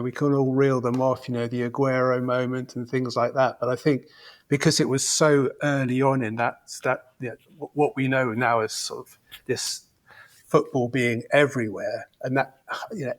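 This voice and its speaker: A man's voice: mid-range voice, steady tone, moderate pace. A man in his fifties, a football fan invited as a podcast guest, chatting and reminiscing in a rambling, reflective way. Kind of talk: casual podcast chat.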